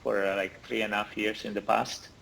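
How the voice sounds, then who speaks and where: Gravelly Italian man on a video call. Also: on the low side, flat delivery, fairly quick, fairly bright tone, a little hiss or hum, poor audio. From Italy. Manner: hesitant, many fillers and restarts. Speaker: interview guest. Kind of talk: casual video call interview. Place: indoor room. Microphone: laptop or phone camera on video call.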